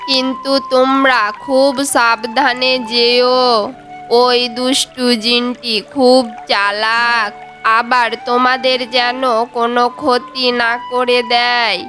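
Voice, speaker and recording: A woman's voice: on the high side, level tone, slow pace. A woman voicing a caring, worried fairy mother trapped as a frog in a children's cartoon, faint music behind. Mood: earnest, cautioning.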